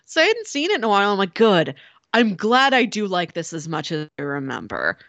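A woman's voice: mid-range voice, sing-song, a little fast, a bit bright, no room sound. A woman co-hosting a podcast in casual, conversational film discussion. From United States, Missouri. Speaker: podcast co-host.

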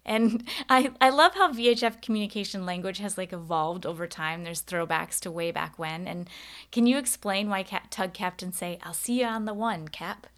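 Woman, medium pitch, podcast host, informal interviewer, engaged conversational storyteller. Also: moderate pace.

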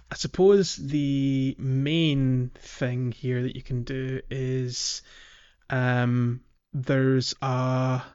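A man's voice: mid-range voice, darkish tone, clean tone. Guy chatting on a gaming podcast, hesitant explainer, kinda dull lo-fi sound. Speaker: podcast co-host. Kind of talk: casual gaming podcast chat.

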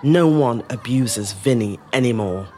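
A woman playing a resilient middle-aged woman telling her life story in a measured, reflective dramatic monologue. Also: extremely low pitch, warm tone, a little hiss or hum. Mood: defiant. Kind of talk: scripted dramatic monologue, first-person storytelling.

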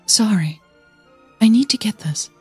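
A woman's voice: medium pitch, animated, a little slow, a little mellow, faint music behind, voice pushed up, close to an everyday voice. A woman voicing a nervous, flustered female stage performer trying to sound casual in an audio drama. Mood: apologetic. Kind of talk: audio drama storytelling dialogue.

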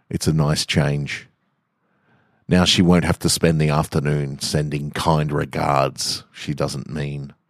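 Male podcast storyteller, low-pitched voice, close dry sound, relaxed literary reading. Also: no room sound. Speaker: podcast host, author and reader. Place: garage, indoors.

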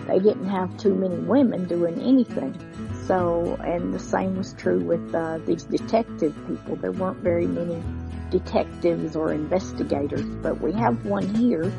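A woman hosting a podcast, giving a casual, unscripted introduction in a halting, hesitant way. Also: lowish voice, creaky. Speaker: podcast host.

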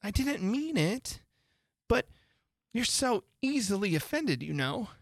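A woman's voice: mid-range voice, sing-song, slow, no room sound, studio quality, voice pushed up, heavily acted. A woman voicing an earnest, chatty, polite little girl in a storybook, with an eager, pleading manner. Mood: apologetic.

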